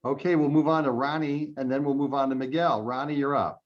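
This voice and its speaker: A man moderates an informal group discussion as host. His voice is mid-pitched and comes through a dull, lo-fi video call connection. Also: steady tone, a little fast.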